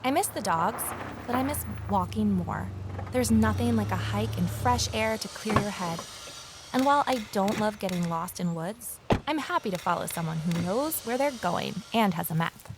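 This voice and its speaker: An actress playing a curious, eager former journalist in an audio drama, with a conversational, inquisitive delivery. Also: female voice, mid-range voice, animated, normal speed, lightly acted. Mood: content, reflective. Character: curious female ex-journalist, eager new conservation worker. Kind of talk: audio drama dialogue and first-person narration. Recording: clear background noise, outdoor ambience.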